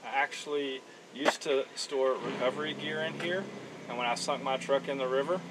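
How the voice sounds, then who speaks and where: A man in his thirties giving a relaxed, plain-spoken walkaround of his vehicle, with frequent ums, recorded outdoors at a campground and then inside the truck. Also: mid-range voice, moderate pace. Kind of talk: casual show-and-tell walkaround. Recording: outdoor mic a bit far, steady background noise.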